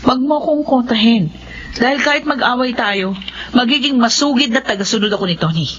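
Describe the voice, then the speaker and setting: Woman playing a headstrong Filipino wife, fairly high voice. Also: fairly quick, a bit bright, lightly acted. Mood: defiant. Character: stubborn, devout wife and mother, defiant. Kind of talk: radio horror drama acting. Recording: dull radio sound, faint noise.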